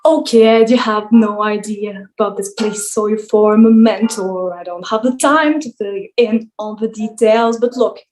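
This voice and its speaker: A woman's voice: mid-range voice, unhurried, few pauses, a little mellow, soft background music, lightly acted, rough recording. A woman playing a jaded, sarcastic male wine god who runs a summer camp, in a weary, theatrical table read. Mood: weary, impatient. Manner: sardonic, weary, theatrical complaining.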